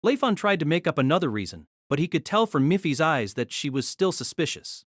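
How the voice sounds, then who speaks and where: A narrator reading a novel aloud in an expressive storytelling manner. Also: medium pitch, fairly quick, no room sound, clean studio sound.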